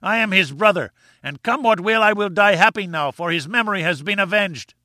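A man reading an audiobook, voicing a vengeful, half-mad male villain who taunts in a shrill, gloating way. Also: fairly quick, sharp tone, no echo, clean studio sound.

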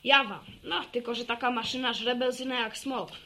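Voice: medium pitch, fairly even intonation, normal speed, bright, forceful tone, slight background noise. A woman playing an enthusiastic, know-it-all village boy who lectures on technical detail in a radio drama. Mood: lively, boastful. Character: eager motorbike-mad village boy, chatty know-it-all. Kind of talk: Polish radio drama acting.

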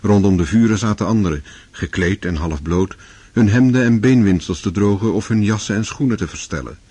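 A low-voiced man narrates a radio drama in a scripted, literary style. His voice is husky and recorded very dry. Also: fairly quick, warm tone, no echo.

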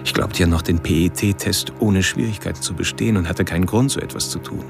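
Radio thriller killer-narrator: low, creaky, cold-blooded, coolly self-important philosopher, confiding and deliberate, over music. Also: male voice. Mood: confiding, wry. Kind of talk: scripted radio crime drama monologue. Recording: music under the voice.

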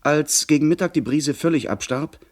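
An actor playing a young gentleman who narrates his sea adventure in a literary radio play style. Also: fairly high pitch, moderate pace, natural voice. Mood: narrating.

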